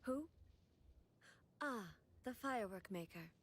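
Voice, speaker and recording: An actress playing a stern, regal immortal female ruler in a video game, speaking in formal, measured phrases. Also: medium pitch, expressive. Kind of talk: scripted video game character voice lines. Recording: game audio with music behind. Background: music.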